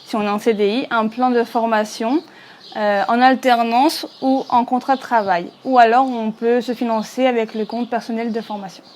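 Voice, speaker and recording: A woman in her twenties explains funding options briskly. Her voice sits on the higher side. Faint ambient noise is behind her. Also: fairly even intonation. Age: 20s. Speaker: social and cultural youth worker. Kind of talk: recorded interview answer.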